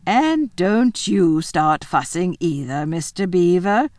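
A woman's voice: on the low side, sing-song, darkish tone, dry recording, studio quality. Woman doing an unflustered, motherly lady beaver. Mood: fussing. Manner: homely, brisk, coolly practical. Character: unflustered, motherly female beaver, bossy housewife.

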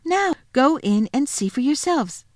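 A woman voicing an earnest, kind-hearted, excitable little girl in a children's storybook, speaking eagerly and emphatically. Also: mid-range voice, normal speed, no echo, clean studio sound, close to an everyday voice. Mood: excited, earnest. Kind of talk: children's storybook read aloud.

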